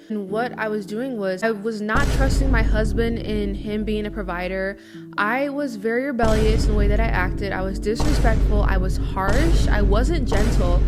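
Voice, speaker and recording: A woman in her early twenties, talking to camera in a chatty, earnest vlog, often saying "you know". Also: medium pitch. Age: early 20s. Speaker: lifestyle vlogger. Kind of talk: casual confessional talk to camera. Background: guitar music underneath.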